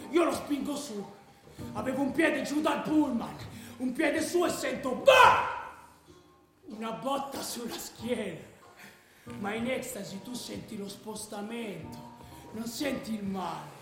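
An actor playing a fervent, excitable football ultra telling of street brawls in a radio play. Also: male voice, extremely high pitch, exaggerated voice. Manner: excitable, emphatic, bursts into shouting. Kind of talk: dramatised first-person narration, radio play. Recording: very echoey room.

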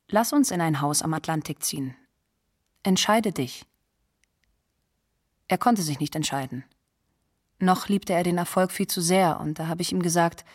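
A woman's voice: lowish voice, darkish tone, dry recording, clean studio sound, lightly acted. An actress playing a star's disillusioned former girlfriend in a radio drama, telling it in a reflective, matter-of-fact way.

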